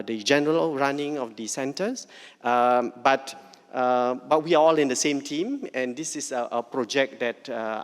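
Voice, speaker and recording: Guy hosting a forum, lots of ums and yeah?, mid pitch, echoey hall. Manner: hesitant, many fillers, checks in with "yeah?". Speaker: forum moderator and lecturer. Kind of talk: introducing a speaker at a public forum.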